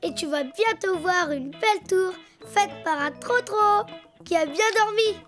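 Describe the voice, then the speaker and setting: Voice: very high voice, a little slow, fairly bright tone, faint music behind. A performer voicing a playful, easily upset little boy donkey in a children's cartoon, childlike and sing-song. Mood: cheerful.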